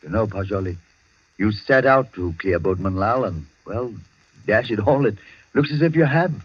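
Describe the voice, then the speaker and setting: Radio drama: a loyal gentleman friend, lowish husky voice, flustered and stammering, dull recording. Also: expressive, normal speed. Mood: shaken, loyal. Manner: hesitant, stammering, old-fashioned polite exclamations. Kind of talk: old-time radio drama acting.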